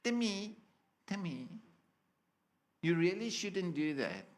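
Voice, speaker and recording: A man's voice: high voice, very animated, slow, exaggerated voice. A man preaching, voicing a strict parent warning a naughty child. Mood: stern.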